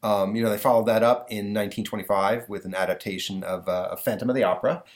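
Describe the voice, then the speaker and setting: A man's voice: lowish voice, steady tone, fast talker. A man hosting a podcast, chatting casually with lots of fillers and restarts. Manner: rambling, many fillers and restarts. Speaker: podcast host. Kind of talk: casual chat.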